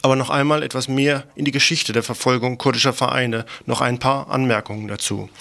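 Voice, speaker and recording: Gravelly man reading radio news. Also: mid-range voice, fairly even intonation, brisk, sharp tone.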